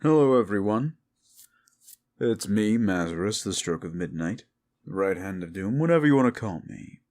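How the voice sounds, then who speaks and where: A man's voice: fairly low pitch, expressive, normal speed, darkish tone. A man who makes audio roleplays, speaking casually to his listeners as himself. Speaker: audio roleplay creator and performer. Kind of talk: casual outro addressed to listeners.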